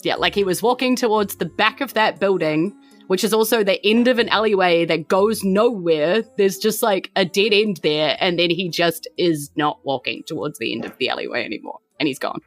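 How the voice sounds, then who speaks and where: A woman's voice: medium pitch, moderate pace, faint music behind. A woman improvising narration as a game master, informal and descriptive.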